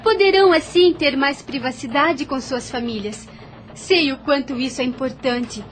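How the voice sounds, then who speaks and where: Woman playing a high-voiced young Brazilian plantation lady. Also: moderate pace, close to an everyday voice. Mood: kind, resolute. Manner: earnest, formal, emotive. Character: kind, resolute young plantation lady, easily indignant. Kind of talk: audio drama acting.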